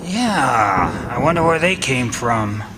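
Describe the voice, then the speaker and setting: Male actor as henpecked husband, slow, resigned country type, radio play, very noisy backdrop. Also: medium pitch, very animated. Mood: reluctant. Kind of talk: old-time radio drama acting. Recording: very noisy storm sound scene.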